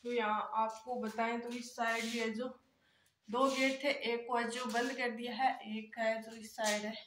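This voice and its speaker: A woman's voice: fairly high pitch, level tone, unhurried, noisy background. A young woman filming herself on a phone at arm's length, chatting casually to viewers as she walks through an old empty house. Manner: chatty, leans on filler phrases, talks to viewers as friends. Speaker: vlogger giving a house tour. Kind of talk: casual walking house tour. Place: old empty brick house, indoors and outdoors. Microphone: phone held at arm's length.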